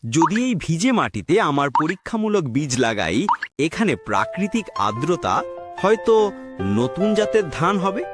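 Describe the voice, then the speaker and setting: A man's voice: a little high, big pitch swings, unhurried. A man playing an earnest, worried village farmer in a cartoon moral story, speaking dramatically. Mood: earnest, hopeful. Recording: loud music under the voice.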